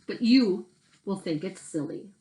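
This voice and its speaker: Woman doing a timid, slow-talking young possum boy. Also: medium pitch, animated, warm tone, lightly acted, low-quality recording. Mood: sheepish. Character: Fuzz, timid, sheepish young male possum.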